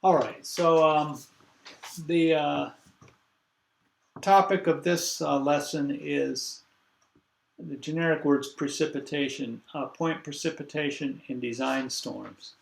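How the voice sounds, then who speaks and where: An older man lecturing a university class over a video call, congested, halting and full of fillers as he thinks aloud. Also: a little high, steady tone, gravelly, roomy sound, poor audio.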